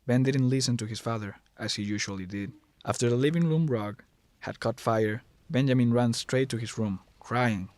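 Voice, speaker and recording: A man's voice: mid-range voice, moderate pace, a little mellow, no echo. A man narrating a scripted horror story as the narrator, recorded close to the mic.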